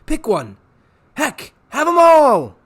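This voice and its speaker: A man's voice: extremely high pitch, wildly swooping pitch, pitched above the performer's own voice, exaggerated voice. A man voicing a pushy, wisecracking male trickster who hollers and talks like a huckster in a storybook reading. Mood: pushy, brash. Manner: loud, fast-talking huckster, hollers.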